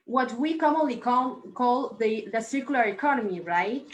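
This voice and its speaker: A woman's voice: a little high, expressive, moderate pace. A woman hosting a live talk show, effusive and full of blessings and thanks for her guests. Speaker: host. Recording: echoey room.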